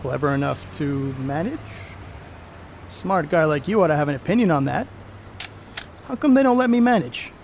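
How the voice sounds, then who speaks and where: A crude, boastful middle-aged baseball star acted by a man in a period stage play. His voice sits high and swings freely as he tosses out cocky slang. The recording has clear background noise. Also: expressive, normal speed, long pauses, darkish tone. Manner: cocky, slangy, coarse-mouthed. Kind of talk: stage play acting, 1930s American banter.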